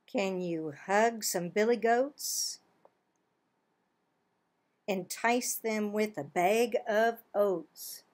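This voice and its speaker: A woman in her sixties reading a children's picture book aloud, expressively, with drawn-out words and pauses. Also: medium pitch, a little mellow. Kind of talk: children's storybook read-aloud. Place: small home office, indoors.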